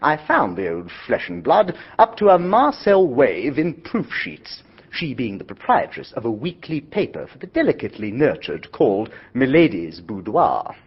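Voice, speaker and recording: A jaunty young gentleman in a radio play, his voice swooping wildly up and down. He sounds slightly breathy, and the audio is dull. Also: mid-range voice, wildly swooping pitch, normal speed, full character voice. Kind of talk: narration and dialogue in radio drama.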